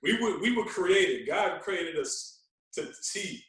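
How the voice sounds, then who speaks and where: A man's voice: high voice, animated, takes it slowly, fairly bright tone, far from the mic. A man talking as a guest fitness coach on a podcast, explaining at length with emphatic rhetorical check-ins. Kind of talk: podcast conversation. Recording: very echoey room.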